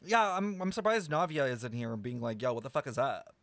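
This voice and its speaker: A young man streaming casual, playful game reactions, excitable and slangy with plenty of swearing. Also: mid-range voice, brisk. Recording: game music behind.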